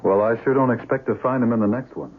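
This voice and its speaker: An actor playing an impatient, no-nonsense police lieutenant in a radio mystery, brusque and colloquial. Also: male voice, mid-range voice, fast, close to an everyday voice. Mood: incredulous. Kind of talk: radio mystery drama acting. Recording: dull noisy radio broadcast recording.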